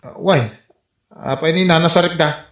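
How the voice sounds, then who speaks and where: An actor playing a lovesick, exasperated son with a Filipino accent in a comedy radio drama, whiny and theatrical. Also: male voice, fairly high pitch, steady tone, moderate pace, a bit bright. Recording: roomy echo, faint music.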